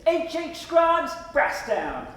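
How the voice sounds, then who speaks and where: Woman acting as measured country villager onstage, high swoopy voice, slow, big hall echo. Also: very animated, fairly bright tone, full character voice. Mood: solemn, deliberate. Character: deliberate rural townsfolk pledging to help. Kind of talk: scripted stage play recitation. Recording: very echoey hall.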